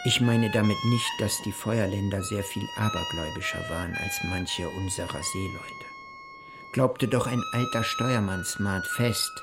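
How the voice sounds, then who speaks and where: A man giving measured, formal narration of a journal in a literary radio play. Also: lowish voice, creaky. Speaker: narrator reading an explorer's journal.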